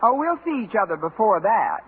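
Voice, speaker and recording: An actress playing a wry, quick-witted schoolteacher with dry wisecracks in a 1950s radio sitcom. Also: female voice, medium pitch, sing-song, natural voice. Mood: dryly teasing. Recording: noisy 1950 radio broadcast, narrow band, audience.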